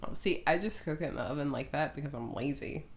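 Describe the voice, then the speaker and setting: A woman's voice: low-pitched, fast talker. A woman co-hosting a casual chat show, speaking in a frank, matter-of-fact way. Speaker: podcast co-host.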